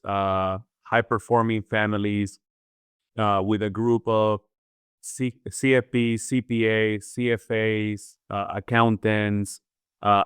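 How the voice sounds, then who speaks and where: A man from Chile with a low, clear voice and fairly flat intonation explains things as an expert podcast guest. He talks conversationally, often seeking agreement as he goes. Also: dry recording, studio quality. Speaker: podcast guest, financial planner.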